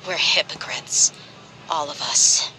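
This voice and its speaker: An actress playing a guilt-ridden but resolute young desert mercenary in a video game, speaking in a measured, reflective way. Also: female voice, background noise, close to an everyday voice. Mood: bitter, reflective. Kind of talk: scripted video game dialogue. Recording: music behind the voice.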